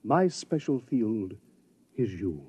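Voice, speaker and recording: An actor in a 1950s radio drama playing a pedantic, effusive scholar from the far future, formal and grandiose in speech. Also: male voice, fairly low pitch, big pitch swings, dark tone, breathy edge, dry recording, full character voice. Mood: awed, reverent. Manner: formal, grandiose, halting over unfamiliar words. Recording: dull, dry vintage radio recording.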